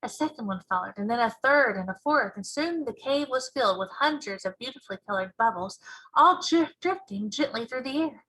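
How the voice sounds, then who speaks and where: A woman reads a children's story aloud in a teacher's narration. Her voice sits fairly high, bright and forceful, with a husky grain. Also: expressive, brisk, low-quality recording.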